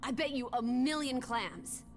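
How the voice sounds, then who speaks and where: A woman's voice: high voice, unhurried, soft background music. An actress playing a bold, inquisitive young woman companion in a video game drama, theatrical and bossy in manner. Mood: excited. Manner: bossy, theatrical, teasing and scolding.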